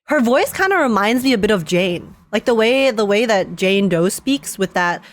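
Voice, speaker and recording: A woman reacting casually to a game on a livestream, with an excitable, giggly and playful manner. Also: medium pitch, moderate pace, no room sound. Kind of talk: casual live gaming reaction.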